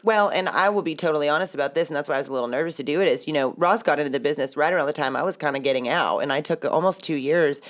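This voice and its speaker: A woman's voice: sits low, rapid-fire, hardly any pauses. A woman who hosts a podcast and works as a real estate broker, talking in a chatty, rambling way and laughing often. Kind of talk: casual podcast conversation.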